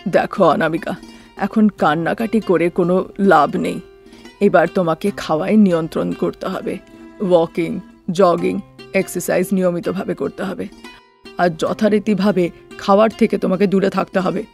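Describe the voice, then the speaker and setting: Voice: mid-range voice, fairly even intonation, moderate pace, gravelly, darkish tone, faint music behind, natural voice. A voice actor playing a blunt male doctor who firmly lectures a family in a storybook cartoon. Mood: stern. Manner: firm, lecturing.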